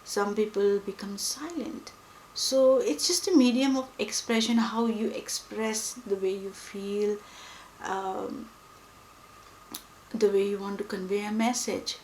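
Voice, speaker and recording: A young woman whose first language is Hindi, talking reflectively and pausing often with fillers. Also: medium pitch, fairly even intonation, a little mellow, a little hiss or hum. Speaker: book vlogger. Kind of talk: reflective personal talk to camera. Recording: echoey room with faint ambience.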